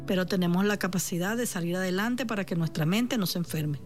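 A woman with a Venezuelan accent speaking on the radio as a therapist, calm and reassuring, addressing the listener directly. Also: on the low side, a little fast, soft background music. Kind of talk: supportive radio talk.

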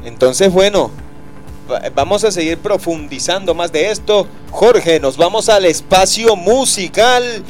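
Man, radio host, chatty and joking, slightly high voice, faint music behind. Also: expressive, measured pace. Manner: chatty, joking, playful teasing. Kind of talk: casual radio talk show.

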